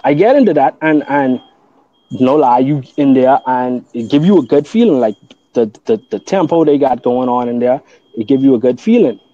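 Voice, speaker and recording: Mellow-voiced Bahamian man explaining earnestly. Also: mid-range voice, lively intonation, normal speed, lo-fi sound. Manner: earnest, explains at length with restarts. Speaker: guest. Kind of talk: casual podcast conversation.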